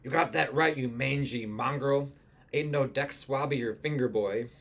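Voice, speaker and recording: A man voicing a gruff, eccentric ship captain with blustery sailor talk, read from a musical script. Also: medium pitch, moderate pace, a bit bright, pitched above the performer's own voice. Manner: blustery old sailor slang.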